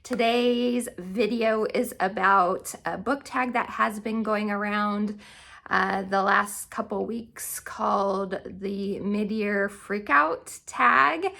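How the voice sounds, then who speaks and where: Bright-voiced woman chatting casually, unhurried. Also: medium pitch, gravelly. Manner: chatty, self-correcting, informal. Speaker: book content creator and host. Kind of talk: casual chatty book vlog.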